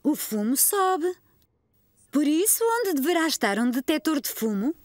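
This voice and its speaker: A woman's voice: sits high, big pitch swings, measured pace, no room sound, clean studio sound. A woman with a European Portuguese accent voicing a kind, encouraging preschool teacher in a children's cartoon dub. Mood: gently instructive. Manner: gently instructing, encouraging. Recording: music behind the voice.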